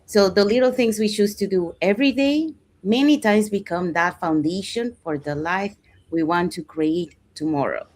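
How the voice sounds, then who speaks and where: A woman's voice: medium pitch, moderate pace, darkish tone. A woman hosting a live online talk, speaking casually with frequent fillers. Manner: casual, with many fillers like "you know" and "so". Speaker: host.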